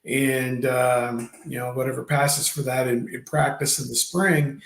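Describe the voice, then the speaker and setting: A man in his fifties hosting a sports talk show, rambling, with many fillers and pauses. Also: medium pitch, flat delivery, vocal fry, a bit bright, echoey room, rough recording. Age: 50s. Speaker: podcast host.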